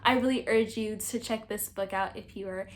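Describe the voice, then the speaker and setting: A young woman hosting a casual book review, talkative and animated, projecting a loud voice. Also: mid-range voice, normal speed. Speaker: book reviewer, channel host. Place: indoors, sitting against a wall.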